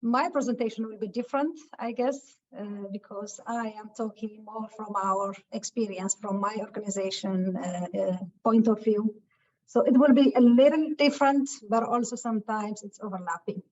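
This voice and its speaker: A woman from Ethiopia giving a webinar talk over a video call, speaking haltingly with many filler sounds. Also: medium pitch, a little mellow. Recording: muffled lo-fi call audio.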